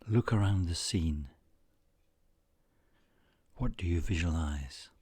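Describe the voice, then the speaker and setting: A man narrating a scripted guided meditation in a soothing, measured manner, leaving frequent pauses between short phrases. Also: low-pitched, darkish tone, breathy edge, dry recording.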